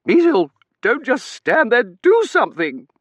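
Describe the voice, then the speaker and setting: A man doing a shrill, wailing Victorian matron. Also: expressive, measured pace, a bit bright, no room sound. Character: imperious, melodramatic older Victorian matron, woman.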